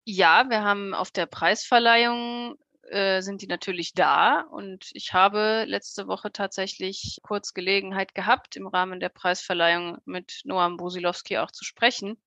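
A woman with a bright, forward voice talks as an interviewee in a conversational podcast. Her account is casual and unscripted, recorded dry with no room sound. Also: medium pitch, fairly even intonation, a little slow, dry recording.

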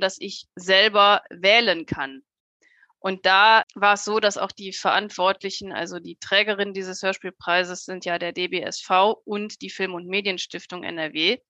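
A woman's voice: mid-range voice, bright, forceful tone, no echo, muffled recording. A young woman talking conversationally as an interview guest, in a talkative, emphatic way. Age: young adult. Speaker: radio-trained journalist, jury member as podcast guest. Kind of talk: conversational interview, opinion and anecdote.

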